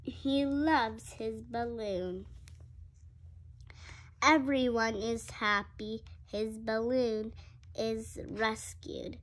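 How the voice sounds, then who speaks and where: Halting, very slow, high-pitched young female reader. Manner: halting, sounding out words, expressive. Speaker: reader in a children's read-along video. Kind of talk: reading a picture book aloud, with excited asides.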